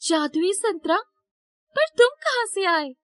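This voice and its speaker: A woman acts out a poor young mother from a cartoon moral tale. Her voice sits very high, shifted up well above an everyday register. She speaks earnestly and emotionally, with a devoted, pleading edge. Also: sing-song, slow, full character voice. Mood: pleading, amazed. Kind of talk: Hindi moral story cartoon dialogue.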